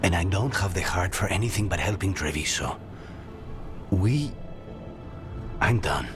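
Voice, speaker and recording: A grieving assassin in a fantasy game drama. His voice is low and grave, with terse, bitter, wounded phrasing. Music plays behind him. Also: animated, a little slow. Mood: cold resignation. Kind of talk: dramatic video game acting.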